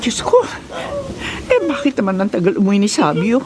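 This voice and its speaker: A woman's voice: medium pitch, huge pitch swings, fairly quick, crowd noise. A woman playing an anxious, emotional wife and mother in a Filipino radio melodrama, fretful and melodramatic. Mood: worried.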